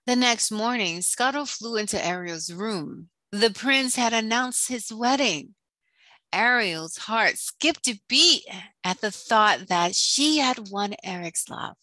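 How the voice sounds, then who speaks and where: A woman narrating a scripted children's storybook in a deliberate read-aloud manner. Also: a little high, lively intonation, sharp tone, no room sound, low-quality recording.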